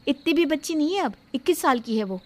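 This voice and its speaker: A woman's voice: sits high, lively intonation, fast talker. A woman playing an outspoken, self-respecting young bride-to-be in a story drama, speaking firmly and indignantly. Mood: firm, displeased. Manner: firm, indignant, emphatic. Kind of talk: dramatised Hindi moral story acting.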